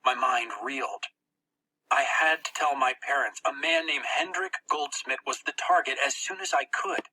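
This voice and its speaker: Very bright, forceful dramatic audiobook narrator. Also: mid-range voice, moderate pace, poor audio. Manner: tense, expressive storytelling. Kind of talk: dramatic first-person scripted narration.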